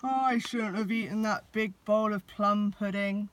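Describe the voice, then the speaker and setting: Woman putting on a loud, growly, gruff Santa. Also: medium pitch, steady tone, measured pace, lightly acted. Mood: flustered. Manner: loud, bellowing, emphatic. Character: big gruff old Santa Claus, exasperated, stuck in chimney. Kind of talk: children's storybook read-aloud.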